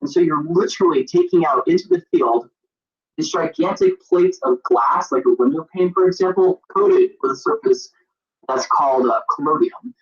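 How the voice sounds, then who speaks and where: A young man from Maine, a photographer and author, rambling in an animated, self-deprecating way as an interview guest on a video call. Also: sits high, moderate pace, vocal fry. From Maine, USA. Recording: muffled lo-fi video call audio.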